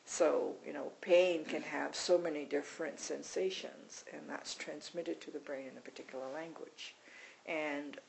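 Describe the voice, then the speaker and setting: Gravelly, halting meditation teacher. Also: medium pitch, fairly even intonation, darkish tone, slight background noise, rough recording. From Canada. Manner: halting and self-deprecating, with frequent restarts. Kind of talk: talk at a mindfulness retreat.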